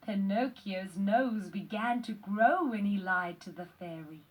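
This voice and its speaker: A woman reading scripted quiz questions and answers for children in a deliberate, instructional way. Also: medium pitch, husky, rough recording. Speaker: narrator of a children's read-along quiz.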